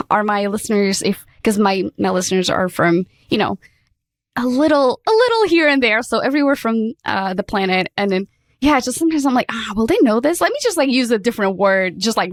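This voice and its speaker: A woman's voice: mid-range voice, no room sound. Chatty woman, dry sound. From Portugal. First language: Portuguese. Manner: chatty and upbeat, many "like" fillers. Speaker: podcast guest. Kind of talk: casual interview chat.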